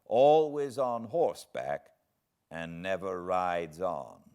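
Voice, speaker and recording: A man voicing an exasperated, wry messenger from a classic book, with emphatic, drawn-out delivery. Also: sits low, animated, mellow, clear, resonant tone.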